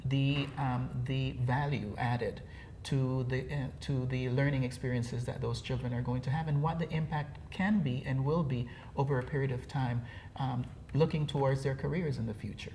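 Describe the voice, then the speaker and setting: A middle-aged man from Costa Rica with a slight Costa Rican Spanish accent, explaining things in a measured way with frequent fillers as a guest on a TV interview. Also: medium pitch, steady tone, darkish tone, slight background noise. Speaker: program director guest.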